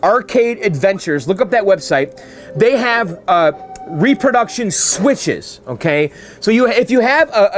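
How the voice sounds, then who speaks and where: A man hosting a casual show, answering viewer mail in a chatty way and often checking in with "okay?". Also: high voice. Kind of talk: casual chat.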